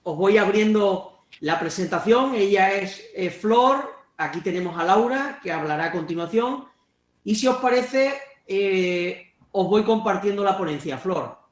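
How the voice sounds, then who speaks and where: Guy hosting a webinar, highish voice, roomy echo, polite and brisk. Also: expressive. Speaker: webinar host. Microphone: video call.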